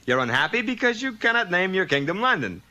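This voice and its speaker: A man playing a glib, reassuring salesman in a 1960s comic cartoon, stammering openers before smooth persuasion. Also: high-pitched, big pitch swings, a little fast, pushed and bright, highs cut off, heavily acted. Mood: persuasive. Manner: hesitant stammered openings, then smooth persuasion.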